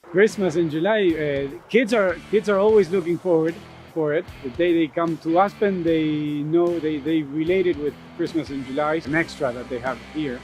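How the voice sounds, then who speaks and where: A man's voice: on the high side, normal speed, darkish tone. A man from Argentina with an Argentine accent, a polo player talking casually in an interview. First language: Spanish. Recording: music behind the voice.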